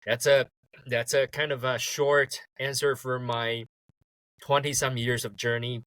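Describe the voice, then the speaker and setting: A man, a guest talking in a relaxed way and laughing easily. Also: mid-range voice, unhurried, no echo. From Taiwan. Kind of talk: casual interview.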